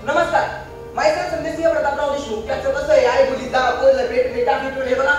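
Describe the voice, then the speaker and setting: A man playing an excitable young reluctant suitor with comic swagger, theatrical and exasperated in a stage play. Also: extremely high pitch, brisk. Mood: boastful, comic. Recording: echoey stage, distant mic, background music.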